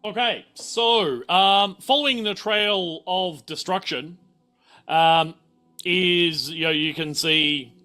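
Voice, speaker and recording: A man narrates a tabletop roleplaying game in an informal, improvised way. His voice is bright and forward, with a slow, deliberate pace. Faint music plays behind him. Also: sits high. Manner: informal, explanatory. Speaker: game master.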